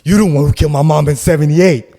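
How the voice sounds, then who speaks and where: A man voicing an unhinged, grief-stricken man who shouts accusations and repeats himself insistently. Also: on the high side, steady tone, quick pace, soft background music, pitched above the performer's own voice. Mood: accusing, agitated.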